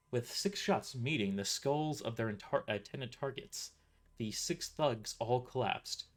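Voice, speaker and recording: Male narrator for an audio novel, amateur read with stumbles and restarts, faint music underneath. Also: medium pitch. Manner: stumbles and restarts sentences while reading. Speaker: author narrating his novel. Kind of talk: first-person audio story narration.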